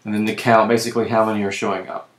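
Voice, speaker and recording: A man with a voice that is giving out, who clears his throat often and keeps correcting himself while walking through software on screen. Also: lowish voice, little pitch movement, very fast, roomy sound. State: losing his voice. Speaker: web tutorial presenter. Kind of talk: screen-recorded software walkthrough.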